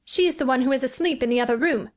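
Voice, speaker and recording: A woman's voice: sits high, racing, voice pushed up, natural voice. A woman voicing a lonely, imperious and secretive young boy in an audiobook. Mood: matter-of-fact. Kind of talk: audiobook character voice.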